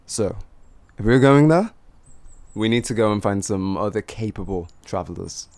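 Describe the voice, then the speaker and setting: A man's voice: lowish voice, animated, a little mellow, no echo. A man playing a cocky, flirty, streetwise bandit in an improvised fantasy roleplay, with teasing, theatrical delivery. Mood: businesslike, curious. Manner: teasing, theatrical, drawn-out phrasing with chuckles.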